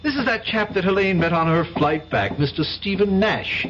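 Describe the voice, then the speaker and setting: An actor playing a glib, teasing upper-class brother, who talks in a breezy, chatty way in a 1970s radio drama. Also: male voice, high-pitched, animated, normal speed. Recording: hissy lo-fi old radio broadcast.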